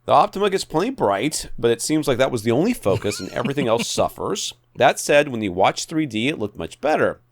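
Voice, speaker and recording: A man's voice: mid-range voice, very animated, brisk, hardly any pauses, slight background noise. A man co-hosting a podcast, chatting casually and wryly about home theater gear. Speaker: podcast co-host.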